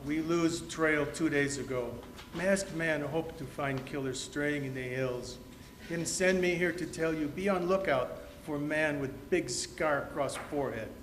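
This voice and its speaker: A man's voice: on the high side, measured pace, natural voice. Man playing a terse, loyal Western scout, echoey. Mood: stolid, earnest. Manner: speaks in clipped, broken English. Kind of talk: old-time Western radio re-enactment. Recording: roomy, echoey with background noise.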